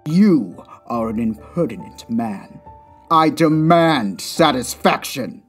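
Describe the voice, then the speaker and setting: A man voices a haughty aristocratic villain for a comic-book drama. His voice sits at an average male pitch, and his phrasing is formal, precise and self-important. Music runs faintly behind him. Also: lively intonation, slow pace. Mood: indignant. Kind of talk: comic dub voice acting.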